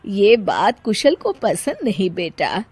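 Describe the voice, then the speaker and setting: A woman voicing a patient, concerned family member in a cartoon story, speaking in a measured, earnest way. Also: medium pitch, sing-song, darkish tone, slightly rough, no room sound, full character voice. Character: concerned, patient mother-in-law and gentle forgiving husband. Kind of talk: Hindi cartoon story voice acting.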